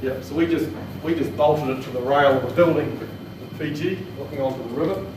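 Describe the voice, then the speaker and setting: A man with a New Zealand accent presents technical training in an informal, plain-spoken way. He is picked up from far off in a very reverberant room, with clear noise behind him. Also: a little high, a little fast. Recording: echoey room, distant mic, noisy.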